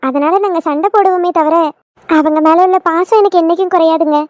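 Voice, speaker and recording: A woman's voice: very high-pitched, a little fast, a little mellow, slight background noise. A woman playing an affectionate young daughter-in-law in a family skit, gushing and emotional, with a southern Tamil Nadu accent. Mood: devoted, gushing.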